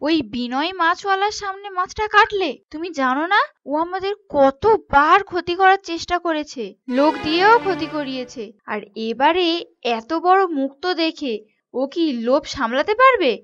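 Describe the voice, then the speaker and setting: Woman acting a high-pitched nagging village wife. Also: expressive, normal speed, a bit bright. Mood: scolding. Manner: scolding, dramatic. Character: nagging, sharp-tongued, worrying village fisherman's wife. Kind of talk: animated folk tale dialogue. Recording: dull lo-fi sound, music underneath.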